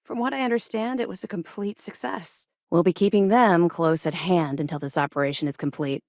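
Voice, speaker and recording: An actress playing a disciplined, guarded female officer in a space navy for a video game, with a crisp, formal briefing manner. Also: lowish voice, fairly quick, a little mellow, dry recording, studio quality, close to an everyday voice. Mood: composed, businesslike. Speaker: video game character voice actor. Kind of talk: video game dialogue acting.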